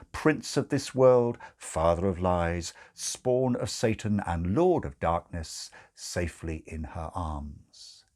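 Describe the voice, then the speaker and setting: A man reading a comic story as narrator, in a measured, dramatic and slightly arch way. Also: sits low, a little mellow. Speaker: narrator, audiobook reader.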